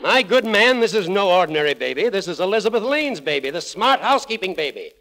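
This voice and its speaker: A man's voice: sits high, very animated, fast, a crowd in the background. A man playing a pompous, blustering magazine publisher in 1940s radio comedy style, easily outraged and declamatory. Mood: eager, bossy.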